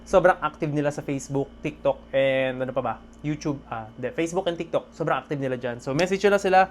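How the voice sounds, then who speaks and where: A man with a Filipino accent vlogging casually about his day, speaking in an excitable, appreciative way. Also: a little high, quick pace. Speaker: motorcycle vlogger. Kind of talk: casual vlog talk to camera. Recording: noisy background ambience.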